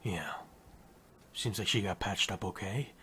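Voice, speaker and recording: An actor plays a gruff male survivor with a fairly low voice. He is deadpan and sarcastic, with dry wit, in an apocalypse drama. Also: a little slow, heavily acted. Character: sardonic, gruff, dry-witted man survivor. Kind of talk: dramatic audio drama acting.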